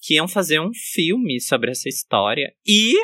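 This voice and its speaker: A man hosting a casual chat, talkative with quick asides and easy laughter. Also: on the high side, big pitch swings, pushed and bright, no echo. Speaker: podcast host.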